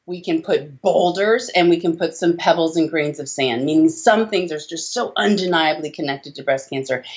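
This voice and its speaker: Low-voiced woman, slightly muffled. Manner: emphatic, rhetorical questions with tag checks like "okay?" and "right?". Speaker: doctor interviewed as guest. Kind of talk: explanatory interview talk. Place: small indoor room. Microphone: video call, computer at desk distance. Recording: dull lo-fi video call audio.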